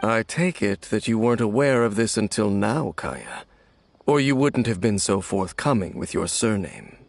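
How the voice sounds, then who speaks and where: An actor playing a stern, world-weary ancient warrior in a video game, grave, measured and formal, with a gravelly voice. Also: male voice, big pitch swings, slight background noise. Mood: grave, probing. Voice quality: low, gravelly.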